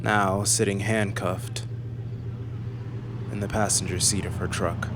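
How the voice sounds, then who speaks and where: A man narrating a scripted fantasy audiobook in a measured, serious way. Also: low-pitched, little pitch movement, background noise.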